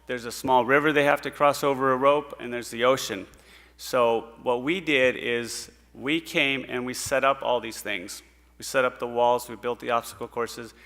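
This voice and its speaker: A middle-aged man from Puerto Rico giving an earnest missionary report to a church congregation, pausing often with fillers. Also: mid-range voice, sharp tone. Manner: earnest, storytelling, pauses with fillers. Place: church sanctuary stage. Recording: hall reverb in a church.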